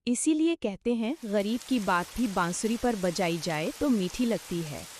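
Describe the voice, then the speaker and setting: A woman's voice: medium pitch, expressive, unhurried, a little mellow, natural voice. A woman voicing a stubborn, bossy but homely village wife in a cartoon story, insistent and given to scolding. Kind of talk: cartoon story dialogue acting. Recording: hiss with rain and sizzle noise.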